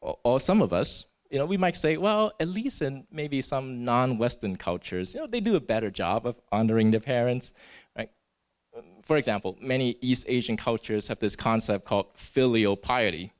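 A man's voice: medium pitch, lively intonation, normal speed. A man from Singapore preaching a sermon in an earnest way. He often tags his points with "right?" and restarts his words.

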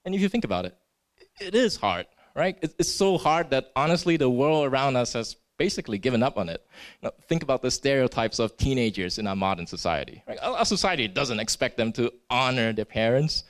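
Male preacher from Singapore, mid-pitched, earnest, checks in with "right?" and stumbles over restarts. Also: big pitch swings, fairly quick. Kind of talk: sermon.

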